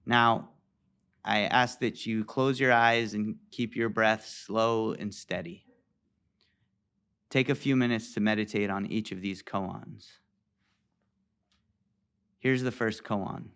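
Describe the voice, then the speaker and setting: A man leading a guided meditation as a teacher, speaking in a calm, deliberate way with pauses. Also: fairly low pitch, creaky, smooth.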